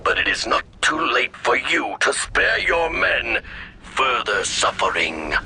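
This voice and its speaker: An actor playing a calm, stately alien commander in a video game, speaking formally and with cold courtesy. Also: male voice, mid-range voice, a little hiss or hum, exaggerated voice. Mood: cold composure. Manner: formal, measured, solemn.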